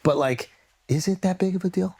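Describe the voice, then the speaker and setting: A man's voice: sits high, fairly quick, gravelly, a little mellow. A man with an American accent hosting a casual podcast conversation, talking in a rambling, opinionated way with plenty of swearing. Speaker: podcast host.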